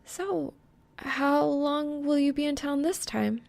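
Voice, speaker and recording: A woman voicing a young woman gamer who is caring, bossy yet shy, in a soft earnest way. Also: high voice, slow, vocal fry, voice pushed up, exaggerated voice. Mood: worried, grumbling.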